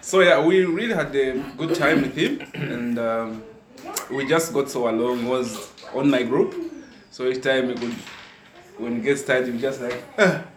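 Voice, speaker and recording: A man's voice: medium pitch, normal speed. A man from Zambia with a Zambian accent, speaking informally and politely as a visiting guest. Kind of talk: informal spoken testimony. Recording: echoey room with people around.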